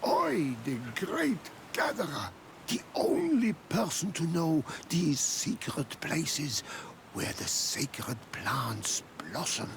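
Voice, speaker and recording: An actor playing a despairing, proud blind herb gatherer in a video game cutscene, speaking in a halting, solemn way. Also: male voice, on the high side, very animated, vocal fry, background noise, full character voice. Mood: wounded pride.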